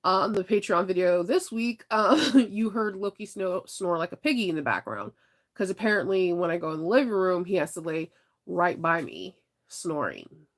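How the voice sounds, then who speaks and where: A woman's voice: fairly low pitch, big pitch swings, normal speed. A woman vlogger chatting casually with viewers, laughing often and sounding stuffed up from congestion. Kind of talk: casual chat.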